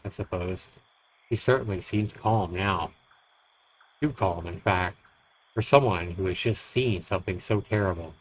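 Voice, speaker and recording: Man, lowish voice, dramatic story narration, deliberate expressive phrasing, visual novel read-aloud. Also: low-quality recording.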